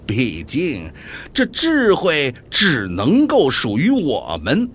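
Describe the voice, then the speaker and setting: An actor playing a cold, calculating devil in a radio drama, speaking in a deliberate, haughty manner. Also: male voice, mid-range voice, huge pitch swings, slight background noise. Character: calculating, cold male devil setting a planet's fate.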